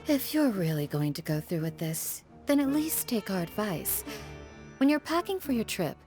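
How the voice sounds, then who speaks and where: Game casting: woman as a caring female protector, soft dark tone, expressive melody, music underneath. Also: mid-range voice, natural voice. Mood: advising. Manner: earnest, caring, measured advice. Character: gentle, earnest female village guardian. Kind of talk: video game voice acting. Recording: music behind the voice.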